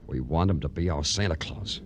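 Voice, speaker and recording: An actor playing a weary, terse police detective with a wry, deadpan manner in a vintage radio crime drama. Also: male voice, extremely low pitch, a little fast, a little hiss or hum. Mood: patient, probing. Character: weary, patient male New York police detective. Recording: vintage radio broadcast with music.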